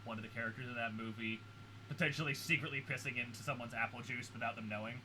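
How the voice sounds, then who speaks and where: A man's voice: medium pitch, fairly even intonation, fast, music in the background, low-quality recording. A man chatting casually as a guest reactor, explaining things as a fan. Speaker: guest reactor who knows the franchise.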